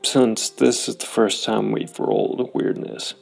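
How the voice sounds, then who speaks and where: A man narrating a solo tabletop game and thinking aloud in a thoughtful, gravelly voice. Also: lowish voice, little pitch movement, a little slow, soft background music. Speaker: solo role-playing game player and host.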